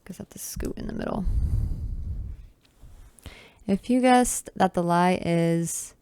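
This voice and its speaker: A young woman in her twenties chats through a solo podcast episode in a relaxed, self-teasing way. Her voice sits a little low and sounds smooth and clear. The recording is dry and close, with no room sound. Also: slow pace, no room sound. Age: 20s. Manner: chatty and self-teasing, with sighs and asides. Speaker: podcast host. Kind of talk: casual solo podcast chat. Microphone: camera with close mic.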